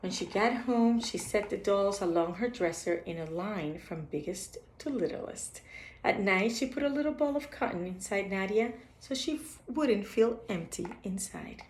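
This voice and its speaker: In a roomy, echoing recording, a woman reads a picture book aloud at bedtime. Her voice sits at a medium pitch, and she tells the story expressively for young children. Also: expressive, moderate pace, a little hiss or hum. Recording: echoey, roomy sound.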